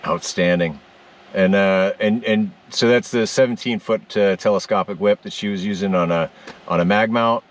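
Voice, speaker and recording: Low-voiced man chatting, off the mic. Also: normal speed. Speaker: ham radio vlogger, host. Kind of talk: casual unscripted vlog chat. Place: rural homestead on a rainy day. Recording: distant on-location microphone.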